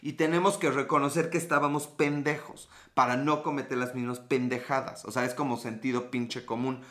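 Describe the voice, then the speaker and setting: A man's voice: medium pitch, flat delivery, fairly quick, a bit bright. A Mexican man with a Mexican accent hosting a casual livestream, greeting viewers by name and joking with profanity and sarcasm. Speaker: livestream host.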